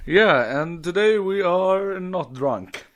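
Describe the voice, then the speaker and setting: A man co-hosting a casual fan podcast in halting with loose grammar. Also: sits high, animated. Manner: non-native English with loose grammar, halting. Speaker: podcast co-host.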